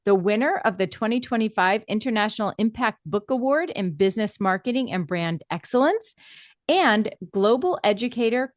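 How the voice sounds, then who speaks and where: A woman's voice: mid-range voice, dry recording, clean studio sound. A woman hosting a podcast, introducing and welcoming her guest in an upbeat, friendly way. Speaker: podcast host. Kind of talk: interview hosting.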